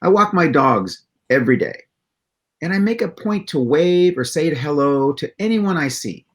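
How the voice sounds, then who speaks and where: A man's voice: fairly high pitch, lively intonation, normal speed. A man co-hosting a live stream, delivering a prepared monologue with punchy, dramatic emphasis and rhetorical questions. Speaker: live stream co-host and presenter.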